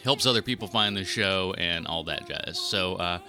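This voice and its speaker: A man's voice: low-pitched, normal speed, pushed and bright, soft background music. A man hosting a film podcast solo in a casual, rambling, informal and mildly profane way. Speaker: podcast host reviewing films.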